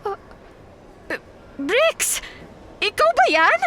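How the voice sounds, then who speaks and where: A woman's voice: very high voice, very animated, drawn-out words, very noisy, heavily acted. An actress playing a devoted, caring career woman in a Filipino radio drama, speaking earnestly with a Filipino accent. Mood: startled, concerned. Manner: earnest, solicitous. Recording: loud music behind the voice.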